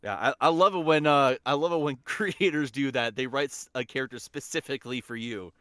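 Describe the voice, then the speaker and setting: A man's voice: mid-range voice, fairly quick, bright, forceful tone, clean tone, dry recording, studio quality. A man talking as a guest voice actor in a casual podcast chat, with an enthusiastic, informal way of speaking.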